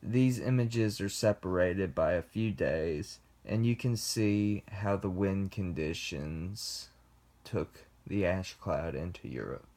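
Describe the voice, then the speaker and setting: Young male student presenter, lowish voice, slow measured read. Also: a little mellow. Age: young adult. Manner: slow, deliberate reading with pauses. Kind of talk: class presentation read from script.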